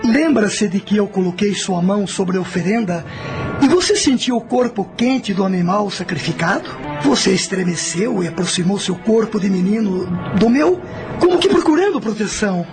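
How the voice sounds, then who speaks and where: Creaky, high-pitched ghostly general father. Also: expressive, normal speed. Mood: solemn, tender. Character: spirit of a dead Carthaginian general, solemn commanding father. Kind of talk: radio drama acting. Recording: radio drama with music underneath.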